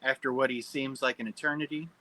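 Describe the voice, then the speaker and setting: A man narrates a sci-fi script at a table read. His voice is bright and forceful at a medium pitch, announcing scenes and reading out the stage directions. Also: steady tone, fast talker.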